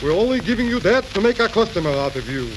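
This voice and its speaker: Casting: excitable middle-aged haggler, high swooping voice, used-car dealer in old crackly radio comedy. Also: male voice, fast. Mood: haggling. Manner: haggling, excitable, inverted phrasing. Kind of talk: 1930s radio comedy acting. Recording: crackly, hissy 1930s radio recording.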